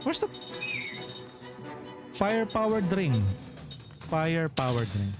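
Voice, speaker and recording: A man's voice: a little high, sing-song, slow, over music. A man from the Philippines with a Filipino accent, streaming a role-playing game and chatting with his viewers as he reads out menus. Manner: thinks aloud while reading menus, chatty with viewers. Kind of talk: live let's play commentary.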